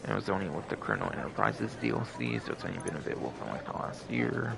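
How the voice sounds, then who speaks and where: A man's voice: low-pitched, very animated, very fast, gravelly, crowd noise. A man hosting a game livestream with casual, rambling, repetitive commentary.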